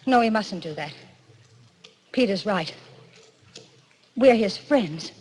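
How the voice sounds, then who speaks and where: A woman's voice: mid-range voice, lively intonation, slow pace, darkish tone, slightly rough. An actress playing a frightened, earnest young woman in a 1940s radio drama, with an urgent, melodramatic delivery. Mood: fearful, urgent. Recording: vintage 1940s radio recording.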